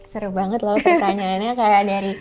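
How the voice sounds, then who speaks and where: Woman in casual chat, mellow voice. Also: medium pitch, steady tone, normal speed, faint music behind. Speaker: singer-songwriter being interviewed.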